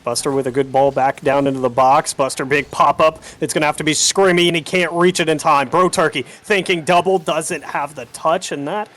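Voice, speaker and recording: A male esports commentator calls a live match play by play. His pitch is a bit above the usual for a man. He tracks each move and adds short bits of analysis. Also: steady tone, fairly quick, few pauses, fairly bright tone.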